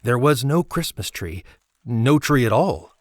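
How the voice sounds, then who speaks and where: A man narrating a story from a script in a measured storytelling manner. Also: mid-range voice, sing-song, dry recording. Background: music.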